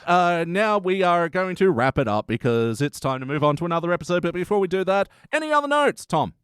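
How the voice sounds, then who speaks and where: A man's voice: high voice, very animated, a little fast, fairly bright tone, smooth, no room sound, studio quality. A man hosting a podcast, chatting casually through a review, with some swearing. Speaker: podcast host.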